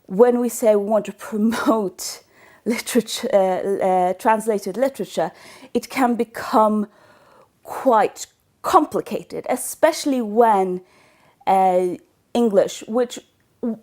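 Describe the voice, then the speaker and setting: Slow, thoughtful Norwegian-born woman blogger. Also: medium pitch, a little mellow. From Norway. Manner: thoughtful, searching for words, hesitant. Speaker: book blogger. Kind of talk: casual vlog talk to camera.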